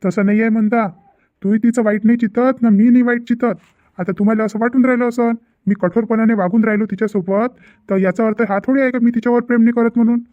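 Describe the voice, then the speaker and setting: A man's voice: very high voice, steady tone, quick pace, no echo, narrow, tinny audio. A man voicing a stern, exasperated middle-aged father in a cartoon family drama, insistent and repetitive, with a Vidarbha Marathi accent. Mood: firm, explaining.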